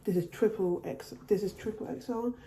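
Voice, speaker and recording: Woman, home vlogger, very dark tone, recovering from a cold, roomy echo. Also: medium pitch, normal speed, a little hiss or hum. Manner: chatty, repeats and restarts phrases. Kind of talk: casual haul video. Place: at home, indoors.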